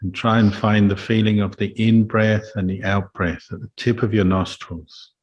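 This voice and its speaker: Low, even-toned man guiding meditation. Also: breathy edge, lo-fi sound. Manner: calm, measured, instructive. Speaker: Buddhist monk and meditation teacher. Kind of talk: guided meditation and Dhamma talk.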